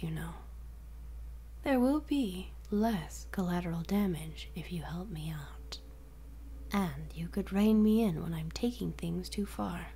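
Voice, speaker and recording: A woman's voice: mid-range voice, mellow, a little hiss or hum. A woman playing a smug, playful female supervillain who controls minds, speaking mostly in a teasing whisper in an audio roleplay.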